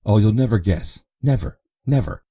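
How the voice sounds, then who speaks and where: Guy doing a bubbly excitable young woman, gushing nonstop, husky underneath. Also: lowish voice, no echo, studio quality, pitched above the performer's own voice. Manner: breathless, rapid exclamations.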